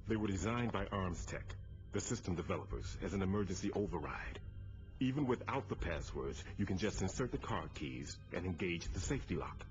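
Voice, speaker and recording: A captive government chief in a video game cutscene. He is low-pitched, deliberate and urgent, explaining like a conspirator. The recording is slightly dull, with loud music underneath. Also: lively intonation. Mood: urgent, explanatory. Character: captive male defense agency chief, urgent and probing.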